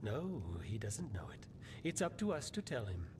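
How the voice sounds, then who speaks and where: A man's voice: sing-song, moderate pace, lightly acted. A man voicing an eerie, mock-courteous prophet in a video game, speaking in portentous, theatrical riddles. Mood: cryptic, solemn. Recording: rumbling background noise.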